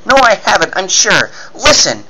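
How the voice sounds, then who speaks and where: A man's voice: sits high, wildly swooping pitch, normal speed, noisy background, voice pushed up. A man voicing an earnest, pleading boy cub reporter in the manner of a 1940s radio serial. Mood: urgent pleading. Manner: gushing, pleading exclamations.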